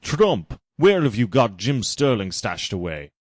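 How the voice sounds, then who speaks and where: A man's voice: on the low side, big pitch swings, measured pace, no echo. A man doing a commanding alien overlord villain. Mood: menacing. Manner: commanding, menacing. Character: grandly evil alien overlord, male.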